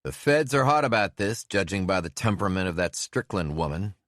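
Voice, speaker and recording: A man voicing a loud, blustery middle-aged sheriff who shouts over engine noise. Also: fairly low pitch, expressive, unhurried, no echo, studio quality, pitched above the performer's own voice.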